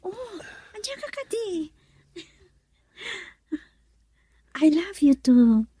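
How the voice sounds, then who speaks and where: A woman's voice: very high voice, sing-song, unhurried, mellow, a little hiss or hum, full character voice. A young woman playing a stubborn, lovestruck daughter in a radio drama. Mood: tender.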